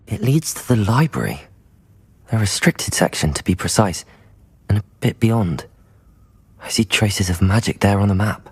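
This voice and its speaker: An actor playing an earnest young wizard student with a breathy voice, polite and sincere, in a fantasy game. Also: male voice, lowish voice, lively intonation, moderate pace, pauses often, heavily acted. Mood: keen.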